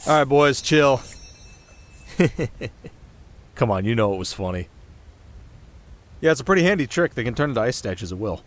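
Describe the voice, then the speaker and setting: A man's voice: medium pitch, lively intonation, normal speed, long pauses, natural voice. A man playing a cocky, playful winter spirit in an ASMR roleplay, speaking straight to the listener in flippant, sarcastic, casual banter. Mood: showing off.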